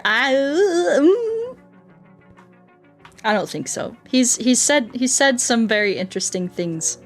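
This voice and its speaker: A woman chatting casually and telling stories as a streamer, with an expressive, playful delivery. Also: medium pitch. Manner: expressive, playful, acts out quoted dialogue. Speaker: game streamer chatting with viewers. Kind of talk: casual chat, storytelling.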